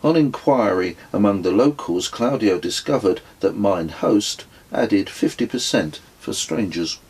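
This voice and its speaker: A man narrates a travel memoir in a very deep voice. His delivery is slow and deliberate, like a storyteller taking his time. The tone is distinctly husky, suited to a scripted audiobook. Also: animated, a little mellow, poor audio. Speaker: author reading own travel memoir.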